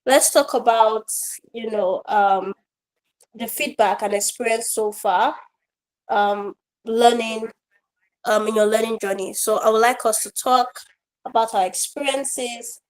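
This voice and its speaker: A woman trainer hosting an online feedback session, talking casually with many fillers, her voice a bit croaky today. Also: on the high side, steady tone, a little slow, poor audio. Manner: warm, rambling, with fillers and laughs. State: slightly croaky voice. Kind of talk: casual online class talk. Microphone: online video call.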